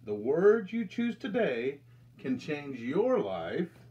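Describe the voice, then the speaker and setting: Slow, lively American man reading to children. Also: a little high, highs cut off. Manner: slow, deliberate, expressive storytelling delivery. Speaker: children's ministry storyteller. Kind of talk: reading a picture book aloud to children. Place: indoors, small room with curtain backdrop.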